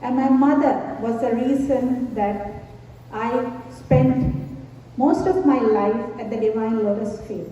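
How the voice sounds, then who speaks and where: A woman from Sri Lanka giving a devotional talk to a gathering, slow, measured and reverent. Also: a little high, fairly even intonation, warm tone. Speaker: invited speaker at a devotional gathering. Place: large hall. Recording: echoey hall from far off, dull, faint hiss.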